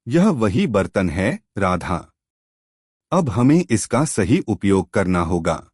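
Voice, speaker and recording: A man voicing a wise, gentle older village mother-in-law in a storybook, giving patient advice. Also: fairly low pitch, sing-song, slow pace, husky, no echo, studio quality, full character voice. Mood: calm advising. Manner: patient, advising, moralising.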